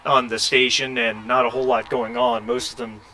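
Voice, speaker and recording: A man narrating a tabletop role-playing game as game master, halting and conversational as he searches for words. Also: mid-range voice, flat delivery, noisy background.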